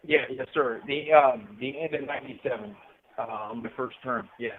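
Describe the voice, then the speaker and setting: Middle-aged male prison caller, halting and reflective, heard through a narrow, muffled phone line. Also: mid-range voice, steady tone, noisy background. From Southern California. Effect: telephone line. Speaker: incarcerated interview guest calling from prison. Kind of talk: casual personal storytelling and reflection. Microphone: telephone handset.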